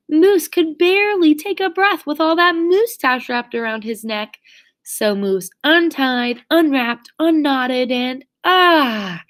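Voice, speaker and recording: A woman reading a children's story aloud expressively, with theatrical, drawn-out emphasis on key words. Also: sits high, fairly bright tone. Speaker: storybook reader.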